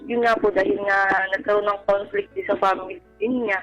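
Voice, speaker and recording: A woman's voice: medium pitch, steady tone, fairly quick, highs cut off, faint music behind. A young Filipino woman, a caller telling a personal story to radio hosts in a polite, deferential manner. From Philippines. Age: young adult.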